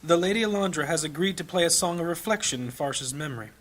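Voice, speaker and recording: A man's voice: a little high, animated, a bit bright. Man acting a grave, impassioned fantasy priest. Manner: measured, rhetorical, impassioned. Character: grave compassionate priest leading a memorial service. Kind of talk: fantasy audio drama acting, solemn sermon.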